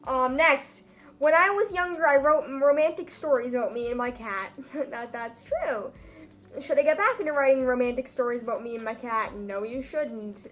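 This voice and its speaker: Young woman vlogger, pretty high voice, chatty and self-mocking, bit of music behind her. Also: normal speed, fairly bright tone. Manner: self-mocking, wry asides. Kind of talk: casual comedic vlog chat, reading own old writing.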